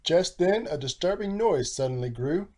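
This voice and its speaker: A man reading a children's storybook aloud in a theatrical, animated style with rhyming phrasing. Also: a little high, normal speed. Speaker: storybook narrator, author.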